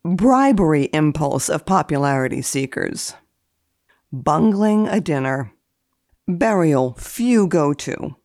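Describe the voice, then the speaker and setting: Female guest columnist, low-pitched and mellow, deadpan and theatrical, close dry sound. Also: takes it slowly, no room sound. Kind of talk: dramatic reading of a book index and deadpan commentary.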